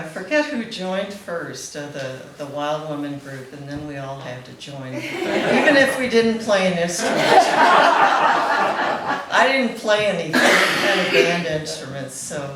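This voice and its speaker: A woman's voice: sits low, distant mic. A woman sharing informal memories as a speaker at a memorial gathering. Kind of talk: informal spoken reminiscence to a gathering. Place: back room at a memorial gathering. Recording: very echoey, loudly noisy room.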